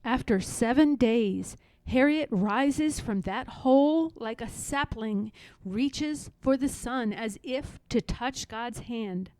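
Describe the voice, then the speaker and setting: A woman narrates a storybook at a very slow, deliberate pace. Her voice sits fairly high but has a soft, mellow tone, recorded dry and close. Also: no echo, low-quality recording. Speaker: storybook reader. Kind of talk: expressive scripted read-aloud narration.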